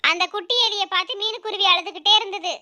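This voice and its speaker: Very high, piercingly bright female storyteller. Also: fairly even intonation, quick pace. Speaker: narrator. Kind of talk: scripted children's story narration.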